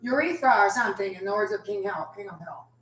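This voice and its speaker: A woman's voice: mid-range voice, expressive, quick pace, slight background noise. A woman chatting casually on a stream in a rambling way, full of fillers and restarts. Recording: echoey room from a distant mic.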